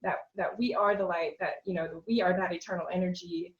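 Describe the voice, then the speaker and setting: A woman's voice: on the low side, steady tone, fairly quick. Earnest woman, slightly dull recording. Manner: earnest, rambling storytelling with fillers. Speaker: podcast guest. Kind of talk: casual interview chat.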